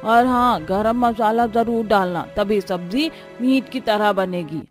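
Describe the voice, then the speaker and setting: A woman's voice: medium pitch, unhurried, close to an everyday voice. A woman voicing a demanding, taunting old grandmother-in-law in a cartoon. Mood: bossy, taunting. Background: music.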